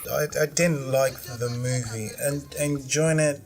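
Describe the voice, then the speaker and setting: A man from Nigeria hosts a casual film review, voicing blunt, skeptical opinions with wry asides. His voice is mid-pitched and conversational. Faint music plays in the background. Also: measured pace. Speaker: film critic host.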